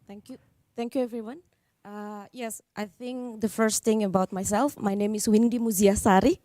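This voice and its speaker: A woman's voice: mid-range voice, steady tone, moderate pace, mellow, dry recording. A woman from Indonesia in her thirties, a startup founder on a panel, explaining plainly with fillers. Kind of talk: panel talk. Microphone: handheld.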